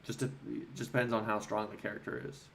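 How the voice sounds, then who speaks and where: A man's voice: lowish voice, little pitch movement, vocal fry, fairly bright tone. Casual male streamer, faint background noise. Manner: informal, halting, thinking aloud. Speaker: livestream host answering viewer chat. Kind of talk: casual livestream chat, reading and answering questions.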